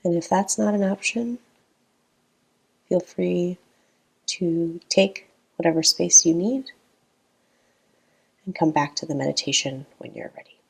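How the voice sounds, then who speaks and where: A woman guides a meditation very slowly, leaving long pauses between her phrases. Her voice sits fairly low and carries a creaky, gravelly fry. The delivery is calm and gentle throughout. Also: low-quality recording.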